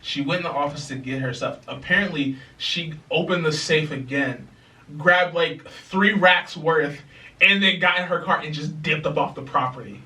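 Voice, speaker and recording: Young guy on a podcast telling a wild story, bright voice, laughing a lot, mic kinda far in an echoey room. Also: on the high side, normal speed. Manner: animated, laughing, acts out other people's lines in quotes. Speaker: podcast co-host. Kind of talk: casual storytelling chat.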